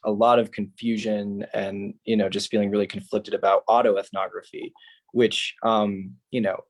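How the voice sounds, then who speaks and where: A man's voice: fairly low pitch, flat delivery, normal speed. A man speaking as a research panelist in a casual academic discussion, hesitant as he thinks aloud.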